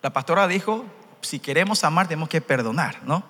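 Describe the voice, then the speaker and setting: A young man with a Paraguayan Spanish accent talks to a youth rally, his voice pitched a little high and bright. He speaks in a relaxed, chatty way, ending phrases with a questioning tag. The sound carries a long reverberant hall tail. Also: a little fast. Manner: conversational, tags lines with "¿no?".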